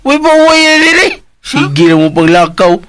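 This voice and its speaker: A man playing an excitable, teasing young nephew in a radio drama. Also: high voice, normal speed, shouting, very noisy. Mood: joking.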